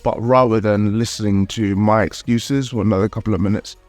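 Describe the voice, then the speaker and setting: Male fitness vlogger, lowish pitch, slightly nasal, candid and upbeat voice-over, dry close mic with quiet music. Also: steady tone, a little fast, no room sound. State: nasal, recovering from a cold. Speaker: calisthenics vlogger. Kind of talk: voice-over vlog narration.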